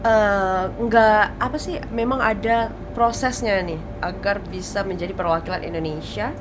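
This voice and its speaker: A woman's voice: mid-range voice, a little slow. Chatty, teasing woman TV host. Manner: chatty and teasing, laughs often. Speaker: TV talk show host. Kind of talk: casual morning talk show chat. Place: TV studio. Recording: noticeable background noise.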